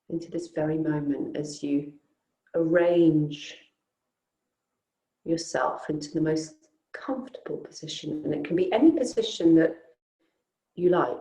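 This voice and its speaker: A woman teaching yoga nidra, guiding a relaxation in a soothing, unhurried, gently inviting way with long pauses. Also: low-pitched, roomy sound, poor audio. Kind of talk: guided relaxation meditation.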